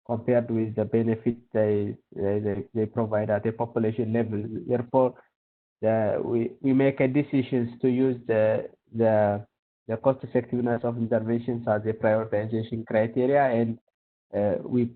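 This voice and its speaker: A man from Ethiopia presents on a webinar over a tinny, phone-like call line. He keeps his pitch steady and flat, pausing often on fillers and restarts as he explains. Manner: hesitant, many fillers and restarts. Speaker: ministry of health technical advisor. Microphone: video call.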